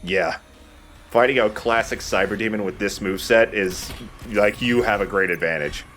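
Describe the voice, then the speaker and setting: A man's voice: fairly low pitch, normal speed, fairly bright tone, slight background noise. A man giving casual, joking let's play commentary on a video game, swearing freely. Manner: profane, joking banter. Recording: game music behind. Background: video game music.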